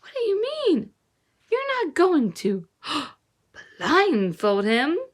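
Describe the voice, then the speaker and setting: Storybook girl, played by a woman reader: young, anxious, pleading whine, pitched up. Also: wildly swooping pitch, slow pace, exaggerated voice. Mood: alarmed. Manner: whiny, pleading.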